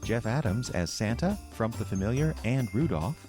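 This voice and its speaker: A man's voice: on the low side, expressive, normal speed, over music. A man reading scripted closing credits as the announcer of a radio drama.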